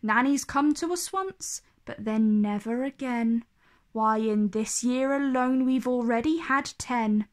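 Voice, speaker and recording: A woman voicing an anxious, gentle mother in a storybook, with a worried manner. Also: fairly high pitch, takes it slowly. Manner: worried, sighing, gasping.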